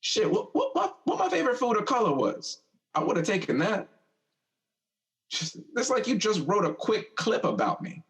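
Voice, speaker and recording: A teasing, insistent older brother. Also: male voice, sits high, wildly swooping pitch, normal speed, fairly bright tone, exaggerated voice. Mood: hurt, insistent. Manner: insistent, teasing, drawling, stammers when reflective. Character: teasing older brother, a restless young man who has died. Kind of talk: stage-style audio drama dialogue.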